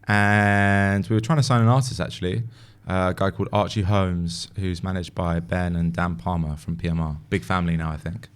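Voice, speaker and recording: A man's voice: deep voice, a little fast, clean tone. A man in his early thirties, a guest on a podcast, talking casually and rambling a little with lots of hedges. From born in Paris, English parents. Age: 30s. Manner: relaxed, rambling, many fillers and hedges. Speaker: guest, music industry A&R.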